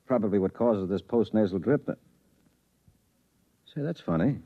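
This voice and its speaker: A man's voice: on the low side, animated, moderate pace. A man playing a harried, married investigator, skeptical but earnest, in 1950s radio drama style. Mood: rueful, puzzled. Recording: muffled vintage 1950s radio recording.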